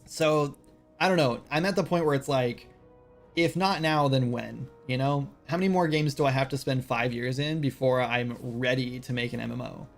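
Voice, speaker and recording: Gravelly man in his thirties chatting. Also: medium pitch, normal speed, faint music behind. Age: 30s. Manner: rambling, self-deprecating, lots of fillers and "like". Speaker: game developer streaming. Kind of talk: casual livestream chat while working.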